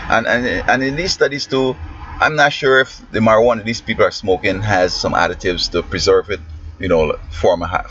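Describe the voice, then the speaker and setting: Guyanese guy rambling and preachy, kinda low voice, muffled lo-fi audio. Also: fairly bright tone. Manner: meandering, preachy, often trails off mid-sentence. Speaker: schoolteacher. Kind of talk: rambling spoken commentary. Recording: muffled lo-fi with faint hiss.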